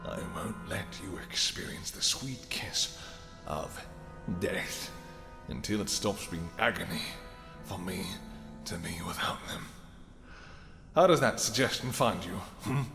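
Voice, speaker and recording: An actor playing a regal, possessive vampire king in a fantasy audio roleplay, with archaic, theatrical speech and halting pauses. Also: sits low, lively intonation, gravelly, exaggerated voice. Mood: vengeful fury. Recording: echoey hall reverb with music.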